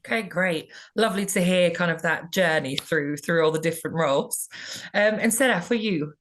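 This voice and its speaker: A woman's voice: on the low side, moderate pace. A woman recruiter hosting a webinar over a video call, friendly and with many fillers.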